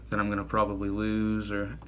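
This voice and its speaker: A man in his thirties with a fairly low voice chats through a casual gear review. He rambles, corrects himself mid-sentence and sounds enthusiastic about what he shows. A faint hum of room ambience sits behind him. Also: completely flat delivery, a little fast, a bit bright. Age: 30s. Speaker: knife reviewer.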